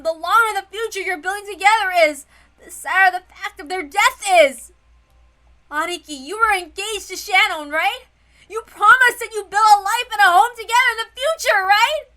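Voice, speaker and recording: Here is an anguished teenage boy as played by a woman, hot-blooded and emphatic. The voice sits high and bright, pleading with real force in a visual novel read-aloud.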